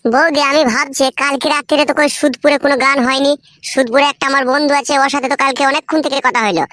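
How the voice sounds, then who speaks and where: A man voicing an earnest, cautious village neighbour in a cartoon, with a pitched-up voice. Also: fairly even intonation, rapid-fire, bright, forceful tone, dry recording, studio quality. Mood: confiding, serious.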